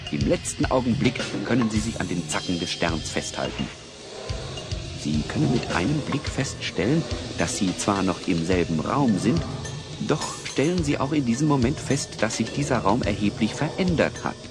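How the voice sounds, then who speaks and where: A man's voice: fairly low pitch, expressive, normal speed. A man giving dramatic scripted narration as the narrator of a German children's audio drama. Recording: loud music and effects bed.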